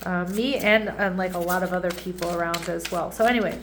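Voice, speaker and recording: A woman's voice: on the low side, fairly even intonation, a little fast, noisy background. Congested, nasal-sounding woman reading tarot. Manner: chatty, checks in often with the audience. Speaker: tarot reader. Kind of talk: casual tarot reading monologue.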